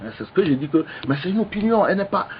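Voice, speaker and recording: Guy on a radio debate, keeps restarting, kinda high voice, muffled broadcast. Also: animated, a little hiss or hum. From Togo. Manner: halting, many restarts, insistent. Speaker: opposition politician, guest.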